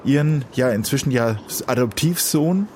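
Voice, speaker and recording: A man's voice: mid-range voice, lively intonation, normal speed, mellow. A man hosting a live radio phone-in in a conversational, courteous and sympathetic way. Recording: faint background noise.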